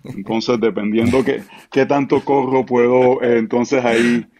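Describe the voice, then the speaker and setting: A man's voice: mid-range voice, a little slow. A man in his forties with a Puerto Rican accent, a pastor chatting relaxedly as a podcast guest with plenty of fillers. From Puerto Rico. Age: 40s. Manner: relaxed, filler-heavy, self-deprecating. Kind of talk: casual podcast conversation.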